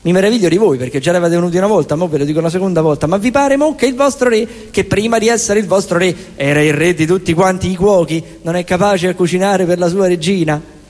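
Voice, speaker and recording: A man's voice: high-pitched, fast talker, darkish tone, long reverb tail, close to an everyday voice. A man playing a proud, defensive king from a comic stage fable, talking in a grandiose, indignant, folksy way. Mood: offended, boastful.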